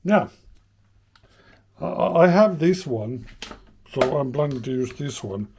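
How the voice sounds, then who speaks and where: A man's voice: mid-range voice, expressive, slow, background noise. A man giving an informal, unscripted hands-on demonstration, thinking aloud in a hesitant way as he explains. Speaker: host of a vintage radio repair channel.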